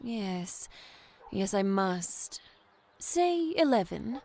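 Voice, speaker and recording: A woman's voice: mid-range voice, very animated, very slow, darkish tone, full character voice. A woman playing a proud, witty, defiant accused woman of the seventeenth century in an audio drama, poised and formal. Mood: defiant, composed. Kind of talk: period audio drama acting.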